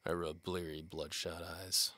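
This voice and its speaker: A man playing a cynical, world-weary knight-for-hire detective, narrating a hardboiled noir audio drama in a deadpan, wisecracking way. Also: sits low, measured pace, dry recording, exaggerated voice. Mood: weary, wry.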